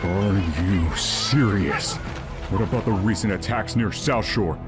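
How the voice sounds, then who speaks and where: A man's voice: low-pitched, unhurried. A man playing a stern, earnest human army captain in a fantasy game, speaking formally. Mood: indignant. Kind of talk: fantasy game cutscene acting. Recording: music under the voice.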